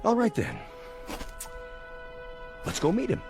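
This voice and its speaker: An actor playing a sarcastic, menacing army major in a radio drama, mocking and domineering in slangy speech. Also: male voice, high-pitched, huge pitch swings, takes it slowly, creaky, heavily acted. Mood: commanding, sardonic. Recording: music bed behind dialogue.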